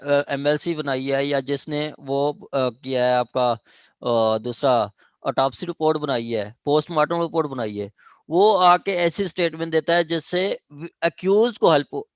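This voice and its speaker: Slow-paced Pakistani man lecturing. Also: fairly high pitch, dry recording. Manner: halting, repetitive explaining, switching to English legal terms. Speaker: law lecturer. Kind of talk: online lecture explaining evidence law. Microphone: online class call.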